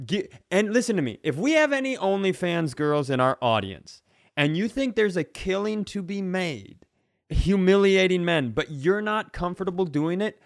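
A man's voice: fairly high pitch, dry recording. Man in casual comedic podcast chat, dry sound.

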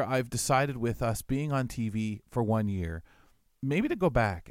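A man's voice: on the low side, a little mellow, no room sound, studio quality. A man hosting a radio show, talking to listeners in a casual, reflective, rambling way. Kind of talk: casual spoken commentary to listeners.

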